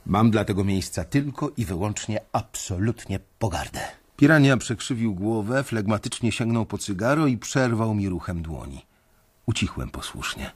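A young bank analyst who narrates his own story in a radio play, wary and guarded. His low voice has a husky edge. He tells it in a restrained, measured way. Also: animated. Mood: defiant. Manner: restrained first-person narration.

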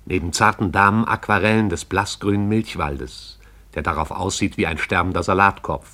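A man narrates a radio play in a measured, poetic way. His voice sits fairly low. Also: fairly even intonation, pushed and bright.

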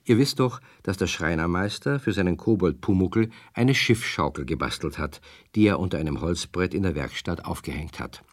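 A man's voice: deep voice, normal speed, hardly any pauses, no room sound, studio quality. A man narrating a children's radio story, speaking directly to the young listeners. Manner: warm, addresses children directly.

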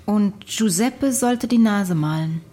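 An actress playing a sceptical questioner in a conversational radio drama, matter-of-fact and probing. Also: female voice, medium pitch, slow pace, mellow. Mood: sceptical, curious. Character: probing, sceptical female questioner hearing an incredible account.

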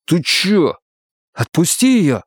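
A man voicing a desperate young male student whose high shouting voice cracks. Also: sing-song, normal speed, no echo, clean studio sound.

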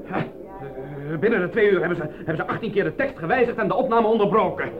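A man playing a flustered, exasperated studio director in a Dutch radio drama, excitable and stammering. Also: high voice, quick pace, background noise, lightly acted. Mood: harried. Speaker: actor in a radio play. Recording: dull lo-fi radio recording, background crowd bustle.

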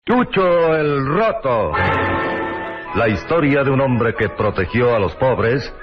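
A man's voice: mid-range voice, lively intonation, slow. A man announcing an old radio serial in a grand, dramatic manner. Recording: dull vintage radio over music.

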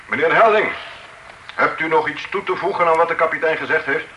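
An actor playing a presiding magistrate who questions witnesses in a measured, formal way. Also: male voice, a little high, bright, forceful tone, muffled recording, slight background noise. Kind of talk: period radio drama acting.

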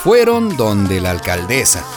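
A woman's voice: a bass voice, wildly swooping pitch, a little slow, over music. A woman narrating a scripted radio drama in a playful storytelling manner.

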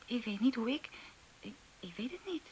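An actress in a radio drama playing a hesitant young woman who talks in halting, unsure phrases. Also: fairly high pitch, expressive, darkish tone, a little hiss or hum, close to an everyday voice.

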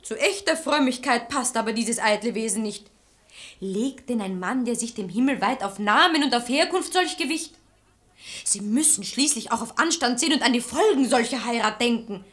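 Cheeky high-voiced housemaid. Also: female voice, animated, normal speed, fairly bright tone. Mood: sharp, reproachful. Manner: mocking, insolent. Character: cheeky, outspoken, sharp-tongued housemaid. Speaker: actress. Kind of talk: theatrical German radio play acting in verse.

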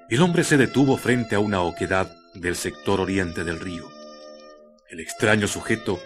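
Music plays clearly behind a man narrating a radio drama. His voice is on the low side. He delivers literary, scripted prose in a measured storytelling manner.

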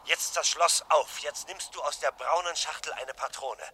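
A man's voice: on the high side, normal speed, sharp tone. An actor playing an anxious, commanding husband who repeats clipped instructions to his terrified wife in a crime radio drama. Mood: controlled, instructing. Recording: old radio play, faint noise.

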